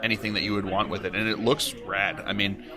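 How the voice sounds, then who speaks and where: A man commentating a tabletop game tournament stream in casual chat, with a loud, boisterous laugh. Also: on the low side, flat delivery, fast, a crowd in the background.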